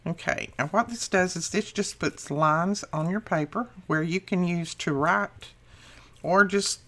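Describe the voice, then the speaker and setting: A middle-aged woman hosting a craft channel, chatting casually through a tutorial with a slightly rough morning voice, laughing at her own mistakes. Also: lowish voice, unhurried, a bit bright.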